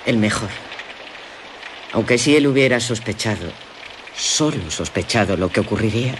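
Expressive young woman, rain behind. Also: rumbling low, normal speed, noisy background. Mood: fond. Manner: expressive, earnest storytelling. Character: gentle, curious young woman living in a castle. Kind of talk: Spanish radio drama acting, narration and dialogue.

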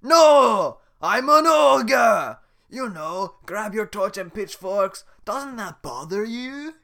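A man voicing a grumpy male ogre who is blunt and gruff and bursts into loud shouts. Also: a little high, animated, measured pace, pitched above the performer's own voice. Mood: bellowing.

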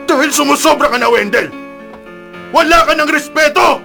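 A man's voice: very high-pitched, normal speed, creaky, music in the background. A male actor playing a stern, middle-aged father in a Filipino radio drama, with a Filipino accent and a domineering temper. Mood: enraged. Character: stern, domineering, angry father of grown son.

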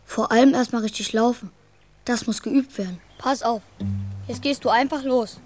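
A woman's voice: on the high side, moderate pace, a little mellow, faint music behind, close to an everyday voice. A woman playing an eager, caring, slightly bossy young boy in a children's radio play. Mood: bossy coaching.

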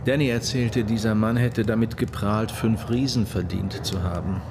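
An actor playing a methodical, persistent police detective questioning a witness in a matter-of-fact way in a German radio crime drama. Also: male voice, fairly low pitch, fairly even intonation, moderate pace, gravelly, background noise. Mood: probing, insistent.

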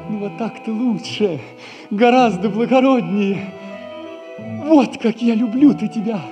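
This is a lovesick young clerk who pleads and complains of his hard lot. His voice sits high, and music plays under the scene in this 1960s stage radio play. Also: a little slow, dull audio. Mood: pleading. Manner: pleading, aggrieved. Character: poor honest young clerk, lovesick and self-pitying. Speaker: stage actor.

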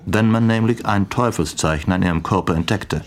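An actor playing a sly, theatrical Irish gentleman investigator in a radio play, teasing and dropping English phrases. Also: on the low side, a little fast, close to an everyday voice. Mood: teasing, dramatic. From Ireland. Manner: teasing, drops English phrases into his speech.

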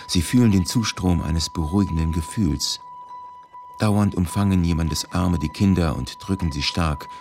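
A man reading scripted documentary narration for radio in a grave, measured, deliberate manner. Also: a bass voice. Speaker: reader of a historical eyewitness testimony. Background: music.

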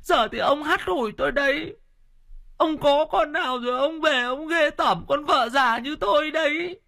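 A man voicing an old wife who weeps and shrieks at her husband in a high, wailing voice. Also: normal speed, creaky, pushed and bright, full character voice. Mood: tearful. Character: old wife, weeping and shrill.

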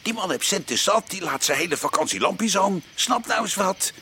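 Gruff bossy burglar, noisy recording. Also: male voice, high voice, expressive, moderate pace, sharp tone, heavily acted. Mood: scornful, urging. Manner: gruff, scolding, coarse slangy talk. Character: bossy, brash, impatient burglar leading a break-in. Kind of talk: Dutch radio play acting. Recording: noisy old radio play recording.

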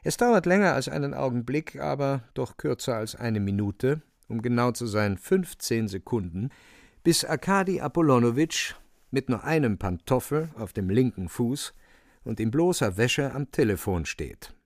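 A man's voice: mid-range voice, lively intonation, moderate pace, gravelly, no room sound, studio quality. A man narrating a literary radio play with theatrical, emphatic phrasing.

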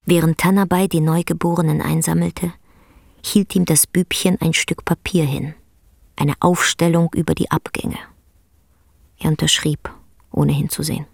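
A woman narrating a literary radio drama as a sober, measured storyteller. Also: deep voice, fairly even intonation, gravelly, a little mellow, no echo, studio quality.

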